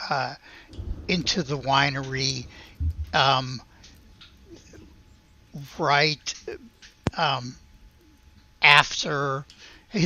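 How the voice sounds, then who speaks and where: A game master improvising tabletop narration in a casual, halting, conversational way. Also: medium pitch, bright, forceful tone, dull audio.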